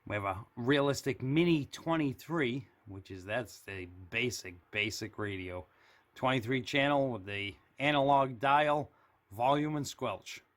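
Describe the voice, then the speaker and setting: A man's voice: mid-range voice, unhurried. A man giving a casual, unscripted show-and-tell as a hobbyist, speaking in a plain, matter-of-fact way behind a handheld camera indoors. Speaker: CB radio hobbyist giving a tour of his radio shack. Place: indoor room with shelves of radios.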